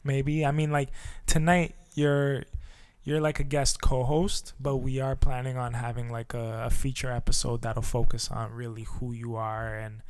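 A man hosting his own podcast in a relaxed, casual chat, with lots of fillers and loose phrasing. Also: medium pitch, moderate pace, smooth, no echo. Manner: laid-back, filler-heavy, says "touch base" a lot. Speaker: podcast host.

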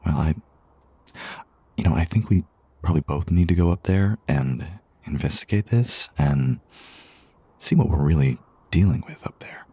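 A man plays a flustered romantic lead in an intimate audio drama. His voice is low and very breathy, with a lively swing in pitch. He hesitates and murmurs tenderly, eager and smitten. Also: dry recording, heavily acted. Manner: halting, breathy murmurs and soft hums.

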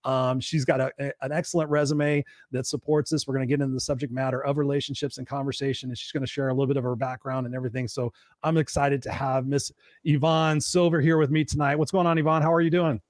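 A man's voice: mid-range voice, little pitch movement, fast talker, darkish tone, no room sound, studio quality. A man hosting a live online talk show, rambling and upbeat with many fillers. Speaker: host.

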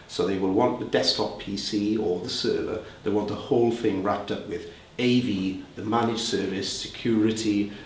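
A man with a Welsh accent talks through interview answers, pausing on fillers and restarting his sentences. His voice sits on the low side. The mic is set back, so the sound is roomy, with faint background ambience. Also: darkish tone, far from the mic, a little hiss or hum. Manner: conversational, with frequent fillers and restarts. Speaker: head of a reseller group.

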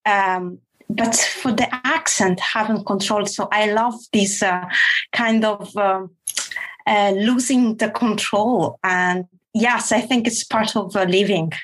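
A woman writer with an Italian accent who speaks slowly and searches for her words with many fillers. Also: mid-range voice, breathy edge. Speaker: writer, panel guest. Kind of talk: casual chat.

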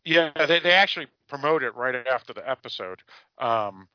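A man's voice: mid-range voice, animated, no echo. Rambling American man hosting, dull dry sound. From Massachusetts, United States. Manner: rambling, halting, repeats words. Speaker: podcast host. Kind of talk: casual panel discussion of a TV show.

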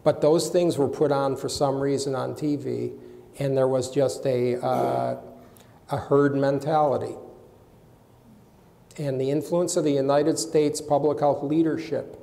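A man on a panel makes his case insistently, doubling words as he gets going. His voice is gravelly and mellow, and it carries a long hall reverb. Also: mid-range voice, steady tone, measured pace. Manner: emphatic, insistent, repeats words when starting. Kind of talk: panel discussion argument.

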